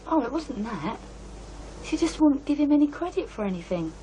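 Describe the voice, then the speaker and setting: A woman's voice: high-pitched, big pitch swings, moderate pace, warm tone, a little hiss or hum. An actress playing a guarded, matter-of-fact young working-class woman with a British accent and blunt colloquial speech in an audio drama. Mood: bitter, matter-of-fact. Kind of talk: radio drama dialogue.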